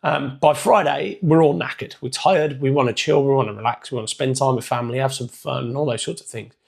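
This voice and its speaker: A man with a hoarse, croaky voice giving a casual, chatty motivational coaching talk. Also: medium pitch, a little fast, a little mellow. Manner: casual, chatty, loosely structured. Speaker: performance coach.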